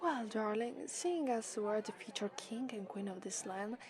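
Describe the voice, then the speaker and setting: A woman's voice: mid-range voice, animated, normal speed, a little mellow, a faint crowd, close to an everyday voice. A woman with an Italian accent voicing an affectionate, cheerful young demon princess bride in an ASMR roleplay. She giggles often and gets flustered easily. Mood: gracious. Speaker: voice actress.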